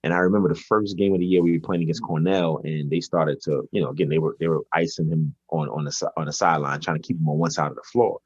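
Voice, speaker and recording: A man's voice: extremely low pitch, steady tone, fast, few pauses, darkish tone, husky, no room sound, lo-fi sound. A man, a basketball coach answering interview questions conversationally, hesitating and repeating words as he thinks. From Brooklyn, New York, United States. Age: 40s.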